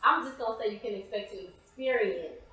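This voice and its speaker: A woman's voice: medium pitch, fairly quick, sharp tone. An American woman giving casual interview answers as a guest playwright. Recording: very echoey, reverberant room.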